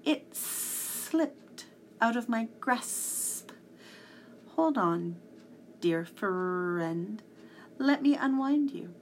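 A woman voicing a sly, falsely friendly male cat in a storybook, with breathy, coaxing politeness. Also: a little high, expressive, very slow, slight background noise, full character voice. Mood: alarmed.

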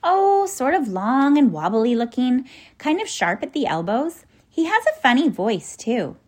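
A woman's voice: high-pitched, very animated, unhurried, darkish tone, voice pushed up, heavily acted. A woman voicing an innocent, hesitant young girl in a children's storybook, artless and questioning in a small voice. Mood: artless, chatty.

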